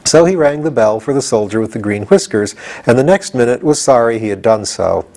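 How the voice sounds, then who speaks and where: A man's voice: medium pitch, animated, brisk, darkish tone. A man reading a storybook aloud as scripted audiobook narration. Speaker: audiobook reader.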